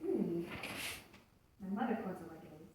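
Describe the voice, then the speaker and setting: A woman's voice: fairly low pitch, lively intonation, normal speed, close to an everyday voice. A woman playing a lazy, naive churchgoer in a puppet show, hesitant and defensive, full of excuses. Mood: sheepish. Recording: echoey room, distant mic, faint noise.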